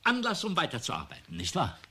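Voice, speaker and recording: A brisk professor of parapsychology in a radio drama. His voice is very husky yet forceful, swinging widely in pitch as he mutters and repeats himself. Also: huge pitch swings, full character voice. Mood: determined. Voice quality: husky, raspy.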